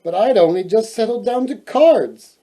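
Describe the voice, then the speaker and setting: A man voicing a haughty, fussy storybook queen and mother with emphatic, drawn-out delivery. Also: high voice, expressive, mellow, pitched above the performer's own voice. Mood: fussy, approving.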